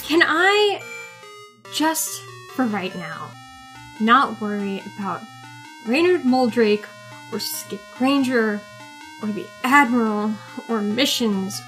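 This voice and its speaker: A woman's voice: a little high, lively intonation, slow, pauses often, a bit bright, faint music behind, low-quality recording. A woman playing a jaded, stubborn young agent in an audio drama, with a sarcastic, dismissive manner.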